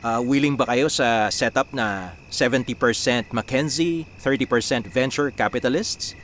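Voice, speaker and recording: An actor with a Filipino accent playing a confident, driven business strategist in a radio drama, explaining things and mixing in English business phrases. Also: male voice, medium pitch, unhurried, fairly bright tone, a little hiss or hum, lightly acted. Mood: confident, persuasive. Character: confident, driven young male business strategist pitching a plan.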